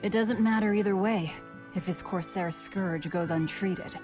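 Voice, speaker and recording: A woman playing a brusque, hardened young antiheroine in an English game dub, curt and deadpan. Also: medium pitch, a little slow, over music, natural voice. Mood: cool, curt.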